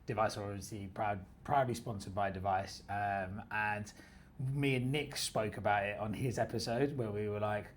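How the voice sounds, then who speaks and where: A man's voice: on the low side, fairly even intonation, moderate pace, a little hiss or hum. A man hosting a podcast, interviewing in a casual, chatty way. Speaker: podcast host. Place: company office used for podcast recording.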